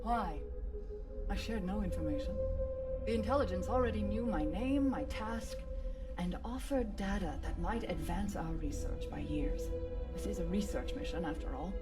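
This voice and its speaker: A woman's voice: mid-range voice, expressive, normal speed, natural voice. A woman playing a brilliant, haughty older scientist in a video game cutscene, precise and imperious. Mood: defiant. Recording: distant voice under loud music. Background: dramatic music.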